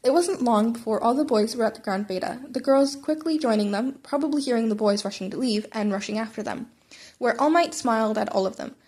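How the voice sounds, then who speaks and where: High-voiced woman reading fan fiction aloud. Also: fairly quick, darkish tone. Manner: stumbles and rereads phrases. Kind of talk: amateur audiobook-style narration of fan fiction.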